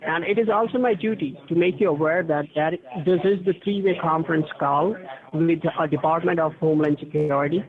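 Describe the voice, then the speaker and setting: Male phone caller, slightly high-pitched, formal and officious, reciting a scripted legal-sounding spiel over a thin telephone connection. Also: fairly quick. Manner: formal, officious, step-by-step instructions. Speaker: phone scam caller posing as a tax officer. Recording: tinny phone line with crowd chatter.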